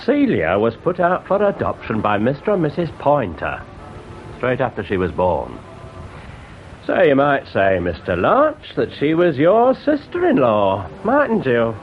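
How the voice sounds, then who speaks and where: A stubborn, grudging middle-aged Englishman in a radio play. His voice swings very widely in pitch while he stays curt and guarded. The sound is a little dull. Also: wildly swooping pitch, measured pace, faint music behind. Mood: indignant, stubborn. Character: surly, evasive male cinema worker, stubborn and grudging. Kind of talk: British radio drama acting. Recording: dull radio drama recording.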